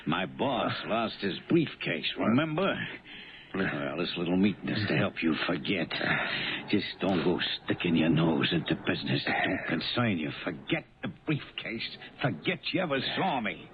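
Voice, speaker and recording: An actor playing a coolly threatening gangster henchman in a radio drama, with a slow, insinuating, mock-polite way of talking. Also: sits low, sing-song. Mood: menacing. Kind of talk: 1970s radio drama acting. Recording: muffled phone-like old radio broadcast, hiss.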